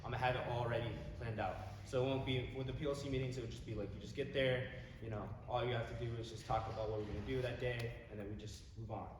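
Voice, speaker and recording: A man's voice: mid-range voice, steady tone, fast, hardly any pauses. Echoey, distant teenage boy making an informal speech. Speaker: youth candidate giving a campaign speech. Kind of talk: informal campaign speech to a group. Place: large echoey indoor room. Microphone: distant microphone. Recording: echoey hall from far off, background noise.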